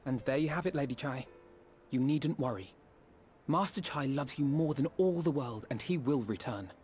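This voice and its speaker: An actor playing an earnest, courteous young hero in a video game, speaking in a formal, measured and reassuring way. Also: male voice, medium pitch, expressive, darkish tone, lightly acted.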